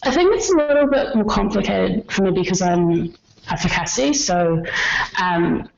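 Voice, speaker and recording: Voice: mid-range voice, animated, normal speed, creaky, darkish tone, lo-fi sound, a little hiss or hum. A person raised in New Zealand speaking reflectively and searchingly in an interview. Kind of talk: casual interview talk.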